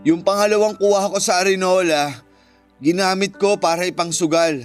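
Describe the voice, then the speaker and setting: A man's voice: sits high, steady tone, moderate pace, smooth, close to an everyday voice. A man with a Filipino accent playing a defensive, evasive boyfriend in a radio drama. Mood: guilty, confessing. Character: defensive, evasive boyfriend caught stealing savings. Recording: music under the voice. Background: background music.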